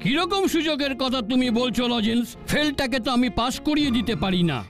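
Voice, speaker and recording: A man's voice: very high-pitched, expressive, fast talker. A man voicing a stern but fair school headmaster in a children's cartoon, speaking in an admonishing, lecturing manner. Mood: stern, relenting. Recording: children's music behind the voice.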